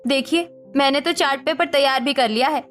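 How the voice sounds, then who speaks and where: Woman acting a fed-up young daughter-in-law, high voice, earnest, assertive, cartoon drama, music underneath. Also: fairly quick, fairly bright tone. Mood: firm. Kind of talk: Hindi cartoon story dialogue.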